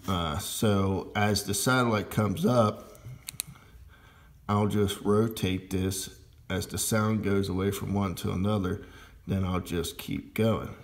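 A man with a fairly low voice talks through a hands-on demonstration in an informal, unscripted way. His delivery is slow and halting, with a heavy creak running through it. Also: steady tone. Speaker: amateur radio hobbyist demonstrating gear.

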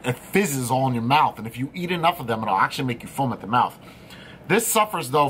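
A man's voice: mid-range voice, slight background noise. Chatty man. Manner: animated, swears casually, chatty storytelling. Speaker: host. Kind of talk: casual candy-tasting chat. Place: studio room with equipment racks.

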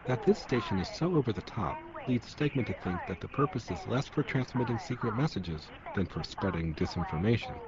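A man's voice: fairly low pitch, expressive, a little fast, hardly any pauses, crowd noise. A man narrating a radio documentary from a script, in a muffled, noisy recording.

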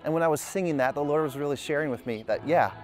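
A man's voice: on the high side, fast talker, darkish tone. A man in his thirties hosting a family vlog, narrating in an upbeat, earnest way. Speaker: vlog host. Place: outdoors in a park. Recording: music bed behind voice.

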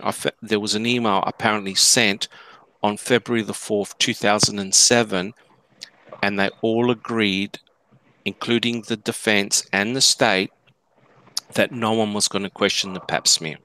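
A man answering questions in a live discussion, explaining deliberately and emphatically, point by point. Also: fairly low pitch, fairly even intonation, bright, forceful tone, dry recording, poor audio. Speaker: panelist answering listener questions.

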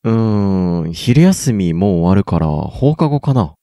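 A man's voice: lowish voice, sing-song, a little slow, dry recording, clean studio sound. A young man playing a teasing, supportive schoolboy in a radio drama. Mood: advising. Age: young adult. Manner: playful, gently needling. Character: teasing, supportive schoolboy best friend Ayato. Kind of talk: Japanese student radio drama acting.

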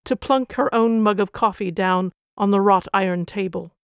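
A woman's voice: mid-range voice, normal speed, clear, resonant tone, no echo. Woman author reading, dry and slightly dull. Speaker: author reading from own novel. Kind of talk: scripted audiobook-style narration.

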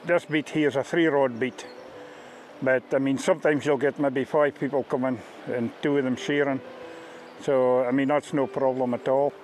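Man, middle-aged, Scottish accent, fishing ghillie, halting informal explanation, plain-spoken, faint outdoor noise. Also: mid-range voice, fairly even intonation, a little mellow, low-quality recording. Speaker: fishing ghillie on a river beat. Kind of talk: informal interview-style explanation of a fishing beat. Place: outdoors on a riverbank. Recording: outdoor ambient noise behind voice.

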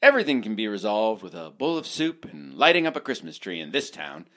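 A man's voice: fairly low pitch, huge pitch swings, a little fast, a bit bright. A man playing a smug, folksy small-town romantic hero with a patronizing, saccharine manner in a parody radio play. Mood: cheerful, smarmy.

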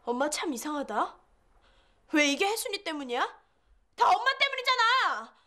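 An actress playing a resentful, sharp-tongued city schoolgirl in a children's TV drama, with a sarcastic way of talking. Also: extremely high pitch, sing-song, normal speed, full character voice. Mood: accusing.

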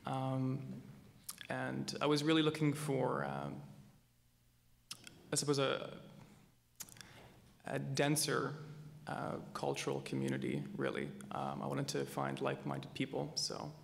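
A man's voice: medium pitch, a little slow, gravelly, long pauses. A young man from Calgary, a student panelist, speaking hesitantly and thoughtfully with many "um"s, in a reverberant hall. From Calgary, Alberta. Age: young adult. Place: university lecture hall. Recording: reverberant hall, faint noise.

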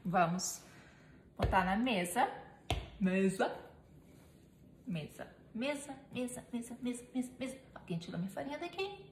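Woman, 30s, home cooking vlogger; chatty, playful, slow and unhurried delivery, echoey kitchen with steady background noise. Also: fairly high pitch. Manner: chatty and playful, talks to viewers and her helper while kneading. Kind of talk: casual cooking demonstration.

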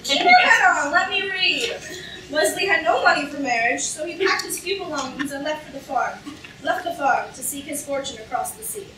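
Far-off, high-voiced woman as storybook narrator. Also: expressive, moderate pace. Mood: insistent. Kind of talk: staged reading, storybook narration. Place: lecture hall stage, indoors, recorded from audience. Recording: echoey hall from far off, noisy audience room.

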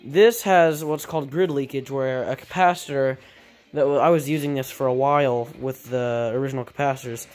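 Man thinking aloud, halting and casual. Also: medium pitch, steady tone, a little mellow, faint music behind. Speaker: hobbyist radio repairer. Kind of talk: casual off-the-cuff workshop vlog. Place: indoor workshop at a cluttered bench.

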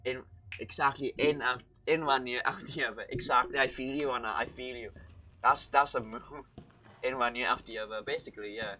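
Bright-voiced young Welsh man streaming. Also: mid-range voice, fairly even intonation, a little fast, rough recording. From Wales. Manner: rambling, chatty, talks to chat while playing. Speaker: game streamer. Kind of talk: casual live-stream commentary. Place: home room, indoors.

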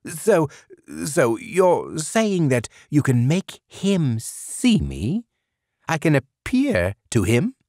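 A tearful, lovesick sleep demon, as a man puts him on, wailing in a high, quavering voice that swings up and down. He sounds desperate and eager, and the recording is dry and close. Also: wildly swooping pitch, very slow, a little mellow, no echo, exaggerated voice. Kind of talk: audiobook character voice.

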